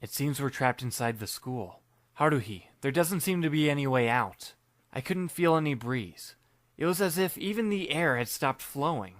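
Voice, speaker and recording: A man's voice: mid-range voice, lively intonation, normal speed, a bit bright, no room sound. A young man reading first-person audiobook narration in a dry, wry, understated manner. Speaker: narrator and lead reader.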